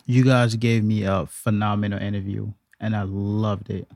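A man's voice: on the low side, flat delivery, normal speed, gravelly, a little mellow, no room sound, studio quality. A man on a podcast talking casually and thoughtfully as a guest. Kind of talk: casual chat.

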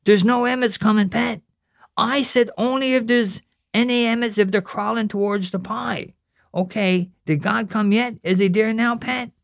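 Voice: fairly even intonation, moderate pace, gravelly, no room sound, studio quality, lightly acted. A reader voicing a curious, whiny little boy, nagging his older brother with questions. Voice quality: very high, childlike.

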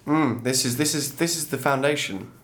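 A young man hosts a relaxed film podcast from a small studio. His humour is dry and teasing. His voice sits at a mid pitch, with nothing unusual in it. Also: normal speed, faint music behind. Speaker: podcast host. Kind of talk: casual film chat.

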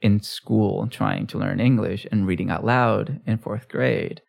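A man who is a poet, talking about his writing in a relaxed interview, thoughtful and halting. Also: fairly low pitch, creaky. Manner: thoughtful, halting, restarts phrases. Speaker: poet and author guest.

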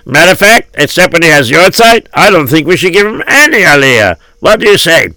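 A man's voice: a little high, very animated, a little fast, sharp tone, dry recording, pitched above the performer's own voice, exaggerated voice. A man playing a suspicious, gossiping synagogue official in a told tale, talking in an emphatic, conspiratorial way.

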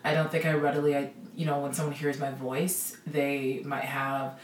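A woman's voice: a bass voice, level tone, normal speed, slight background noise. A woman with a Midwestern American accent, talking casually about herself as a podcast guest. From Minnesota, USA. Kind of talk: casual personal interview answers. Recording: roomy echo, distant from the mic.

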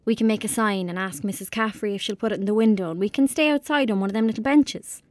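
A woman's voice: mid-range voice, quick pace, no echo, lightly acted. Woman playing a tender young rural Irish girl. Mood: bossy, persuasive. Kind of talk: audio drama acting, monologue and dialogue.

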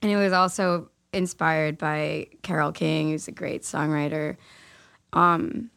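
A woman musician with a raspy voice, chatting loosely as a guest with many fillers. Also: low-pitched, fairly even intonation, a little slow, fairly bright tone, no echo. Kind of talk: casual chat.

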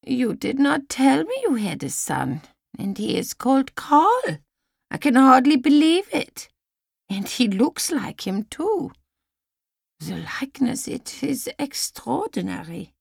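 A woman's voice: sits high, vocal fry, slightly rough, no echo, studio quality, voice pushed up, full character voice. A woman voicing a blunt, prickly woman in her eighties for an audiobook, speaking emphatically in formal, stilted phrases. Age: 80s. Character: blunt, prickly eighty-two-year-old German woman, excited.

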